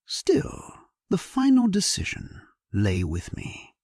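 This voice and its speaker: A man narrating a first-person historical sleep story in a solemn, measured, hushed, breathy voice, as a grave and resolute medieval king. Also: high voice, huge pitch swings, dry recording, in a whisper, studio quality.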